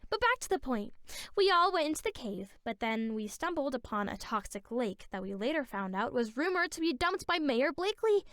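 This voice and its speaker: Cartoon superhero girl, conscientious explainer, high pitched, dry studio sound woman performs. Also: very animated, brisk, fairly bright tone, dry recording. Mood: earnest explaining. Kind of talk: cartoon voice acting.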